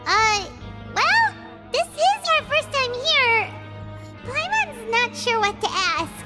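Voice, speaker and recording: A woman voicing a tiny, cheeky, excitable childlike companion with a squeaky voice in a video game. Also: slow pace, over loud music, heavily acted. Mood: sheepish. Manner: speaks of self in third person, blunt. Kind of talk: cartoonish video game voice acting.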